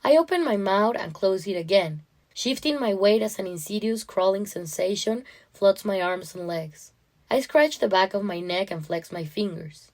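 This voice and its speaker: A woman's voice: medium pitch, moderate pace. Female podcast host and story reader. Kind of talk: casual chat and story narration.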